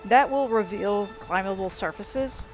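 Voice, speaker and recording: A woman's voice: medium pitch, unhurried. A woman giving casual, informal and rambling gameplay commentary as she explains how a game works. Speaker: game streamer. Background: game music and sound effects.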